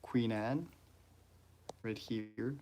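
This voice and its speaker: A man with a French accent, a native French speaker, talking casually on a livestream about his ant-keeping hobby, pausing often with "uh" and stopping to greet viewers in the chat. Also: lowish voice, a little mellow. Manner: halting, many "uh"s and restarts, greets chat viewers.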